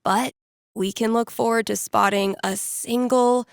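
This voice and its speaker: A woman's voice: fairly high pitch, steady tone, unhurried, vocal fry, clear, resonant tone, dry recording. A woman voicing an upbeat young radio DJ in a video game, conversational and teasing, with dry humour as she talks to callers. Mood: wry, upbeat. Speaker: radio DJ taking calls and telling fortunes. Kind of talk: playful radio host patter, storytelling to callers.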